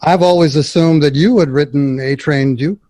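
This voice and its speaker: A man's voice: a little high, moderate pace, warm tone, no room sound, lightly acted. A man playing a polished, admiring 1960s radio interviewer in an audio drama, presenting formally. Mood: intrigued. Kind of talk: 1960s radio host acting.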